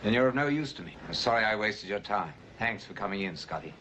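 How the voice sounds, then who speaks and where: An actor playing a worried, polished businessman husband in a 1950s film drama, telling his story gravely and with measured phrasing. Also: on the low side, expressive, lightly acted. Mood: firm. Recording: old film soundtrack, faint background noise.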